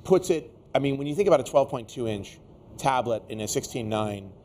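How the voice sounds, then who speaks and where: Guy talking tech off the cuff, kinda exasperated, tired n losing his voice, mid pitch, noisy background. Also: animated, fairly quick, a little mellow. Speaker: tech show host. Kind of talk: casual unscripted tech discussion.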